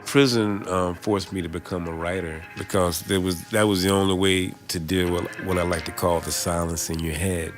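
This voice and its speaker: A man's voice: low-pitched, fairly even intonation, moderate pace, hardly any pauses, music in the background. A man speaking reflectively in an interview. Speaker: poetry professor, former prisoner.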